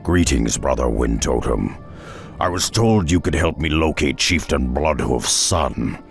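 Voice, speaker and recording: A man voicing a gruff, steady half-orc warrior hero in a video game, terse and resolute, with a gravelly voice. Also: a little slow, soft background music, heavily acted. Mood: grave, urgent. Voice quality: very deep, gravelly. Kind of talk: video game cutscene acting.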